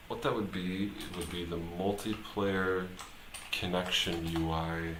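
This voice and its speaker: Deep-voiced, slow-talking man in an echoey room. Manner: halting, muttering to himself while working. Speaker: live streamer, programmer. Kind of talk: casual live coding stream commentary, thinking aloud. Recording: roomy echo, clear background noise, typing.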